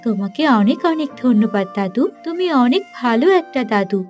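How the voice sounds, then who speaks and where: A sweet and determined young princess from a fairy-tale cartoon, played by a woman. The voice is high and full of eager curiosity, over faint background music. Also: lively intonation, moderate pace, a little mellow, close to an everyday voice. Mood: sweet, curious.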